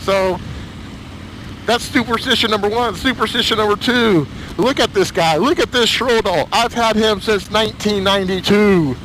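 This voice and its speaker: A man talking casually to camera as a motorcycle vlogger, slightly hoarse, emotional and rambling with halting restarts. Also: high voice, a bit bright, slight background noise, low-quality recording.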